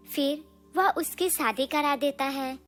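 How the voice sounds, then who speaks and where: A woman's voice: sits high, slow, fairly bright tone, soft background music. A woman narrating a scripted moral story.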